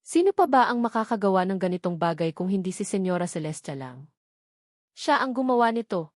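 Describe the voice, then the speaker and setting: A woman's voice: mid-range voice, very animated, brisk, no echo, studio quality. A woman reading a dramatic first-person story as a scripted narrator. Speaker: narrator of a romance novel.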